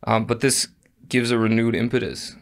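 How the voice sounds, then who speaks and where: A man hosting a podcast interview, quick and opinionated, asking open questions. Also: medium pitch, steady tone. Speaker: podcast host. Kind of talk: casual interview talk.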